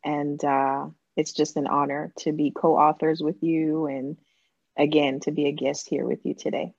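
A woman's voice: low-pitched, level tone, a little slow, creaky, darkish tone, dry recording, dull audio. A middle-aged woman with an accent that shifts between Jamaican and American, talking as a gracious, effusive podcast guest. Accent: Jamaican and American mix. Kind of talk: casual interview talk.

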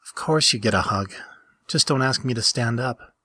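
A man's voice: medium pitch, normal speed, bright, forceful tone, no echo. A man voicing a tired, affectionate skunk-like woman in a story reading.

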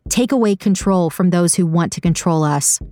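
A woman voicing a cynical, brooding, stubborn man in an audiobook, blunt and insistent in a low-key way. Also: moderate pace, mellow, no room sound, studio quality, close to an everyday voice. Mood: resolute. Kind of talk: audiobook character dialogue.

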